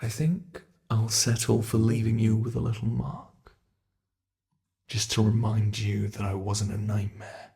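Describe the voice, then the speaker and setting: A man's voice: on the low side, monotone, a little slow, vocal fry, warm tone. A man voicing an ancient male monster in an ASMR roleplay, a cruel and playful predator who taunts his prey and drops into close whispers. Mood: menacing whisper.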